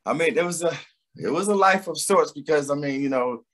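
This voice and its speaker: Um homem de meia-idade com sotaque da Filadélfia, falando numa conversa descontraída por videochamada, com muitas pausas e hesitações. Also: male voice, a little high. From Philadelphia, USA. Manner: relaxed, rambling, many fillers and restarts. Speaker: singer and guest. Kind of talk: casual interview chat. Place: home room with a door behind. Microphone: video call webcam.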